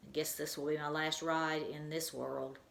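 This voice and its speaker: A woman's voice: deep voice, flat delivery, normal speed, vocal fry, reverberant. A woman doing a gloomy little mouse. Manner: glum, worried. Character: small brave male mouse.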